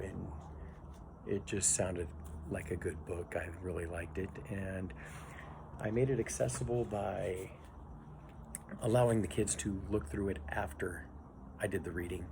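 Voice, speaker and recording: A man who teaches young children, giving an informal spoken reflection on his assignment in a halting way, pausing as he thinks aloud. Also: lowish voice, vocal fry. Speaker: pre-K teacher and education student. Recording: noisy recording with steady background noise.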